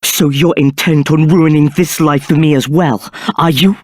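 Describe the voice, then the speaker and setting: An actor playing a kind, earnest young male novice monk in a video game, speaking gently and reassuringly. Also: a little high, steady tone, normal speed, dry recording, lightly acted. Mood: bitter, hostile.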